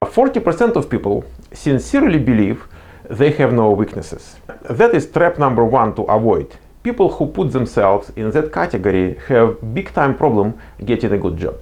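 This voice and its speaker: A man's voice: medium pitch, darkish tone. A man with a non-native accent gives earnest, explanatory job interview advice, with frequent fillers and restarts. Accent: non-native English. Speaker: career advice presenter. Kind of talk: instructional talk to camera.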